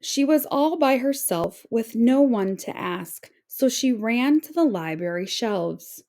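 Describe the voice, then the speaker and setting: A woman reading a children's picture book aloud in an expressive, carefully phrased storytelling way. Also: on the high side, takes it slowly.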